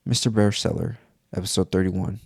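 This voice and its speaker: A man's voice: low-pitched, fairly even intonation, normal speed, a little mellow, husky, dry recording, clean studio sound. A man narrating a scripted first-person horror story as if it were his own account.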